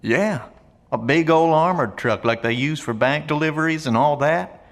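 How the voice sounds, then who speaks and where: A man plays a folksy survivalist in an audio drama, blunt but friendly. His voice is creaky and gravelly, a little high for a man. It rings with long reverb, as in an empty hallway. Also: normal speed, a bit bright. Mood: folksy, matter-of-fact. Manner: folksy, colloquial, plain-spoken.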